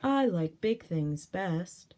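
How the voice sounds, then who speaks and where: Woman voicing Big Bird, slow and emphatic. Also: lowish voice, expressive, dark tone. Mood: emphatic, enthusiastic. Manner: emphatic, drawn-out words. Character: big bird who loves big things, enthusiastic.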